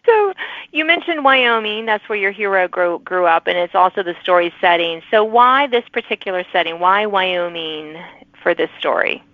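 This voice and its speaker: A woman's voice: mid-range voice, lively intonation, moderate pace, no echo. Chatty woman host with narrow, phone-like sound. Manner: chatty, encouraging. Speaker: podcast host, interviewer. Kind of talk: conversational interview.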